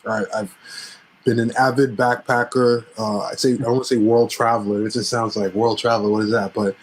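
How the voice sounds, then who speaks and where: A man's voice: mid-range voice, flat delivery, normal speed, low-quality recording. A man from Cameroon, a filmmaker guest in a casual interview, speaking easily with hesitant fillers.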